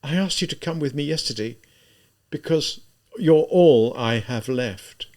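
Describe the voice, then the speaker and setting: A man voicing a tormented, spiteful young murderer in an audiobook, halting and emphatic as he reproaches himself. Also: fairly high pitch, animated, a little mellow, pitched above the performer's own voice. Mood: despairing.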